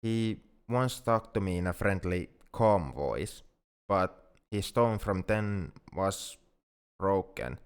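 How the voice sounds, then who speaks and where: A man's voice: low voice, lively intonation, slow, clear, resonant tone. A man playing a shy, self-blaming young male alien in an ASMR roleplay, speaking in a hesitant, earnest way. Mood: wistful, sad. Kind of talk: ASMR roleplay audio drama.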